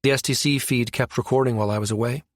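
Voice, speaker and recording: A man voicing a brisk, sardonic, exasperated woman space operative with informal, slangy speech in a science fiction audiobook. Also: mid-range voice, fairly even intonation, dry recording, studio quality, natural voice. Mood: explaining.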